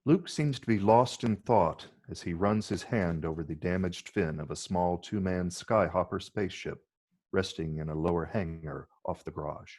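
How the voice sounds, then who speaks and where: A man's voice: sits low, moderate pace, a little mellow. A man narrating scripted screenplay stage directions for a table read.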